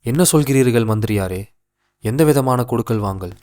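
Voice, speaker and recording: A man playing a troubled, questioning king in an animated folktale, speaking formally and declaiming his words. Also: mid-range voice, a little fast, close to an everyday voice. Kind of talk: animated moral story dialogue.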